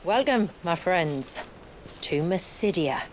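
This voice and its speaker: A woman's voice: fairly low pitch, lively intonation, takes it slowly, poor audio. A woman playing a proud, assured leader of a hidden fantasy village, composed and formal, in a video game cutscene. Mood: welcoming.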